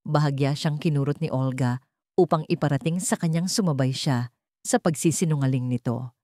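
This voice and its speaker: A woman's voice: deep voice, moderate pace, warm tone, no echo, clean studio sound. A woman reading scripted dramatic fiction as a storyteller. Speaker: story narrator.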